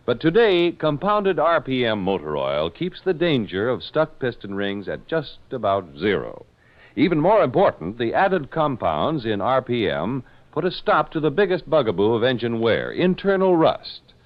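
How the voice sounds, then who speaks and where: An American man announcing in a polished, measured 1940s radio commercial style. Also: mid-range voice. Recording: muffled old radio recording.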